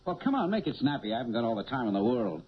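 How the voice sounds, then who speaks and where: A man's voice: mid-range voice, very animated, rapid-fire, a little hiss or hum, close to an everyday voice. An actor playing a brusque, slippery male narcotics cop in a 1970s radio drama. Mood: impatient, brusque. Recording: dull old radio broadcast.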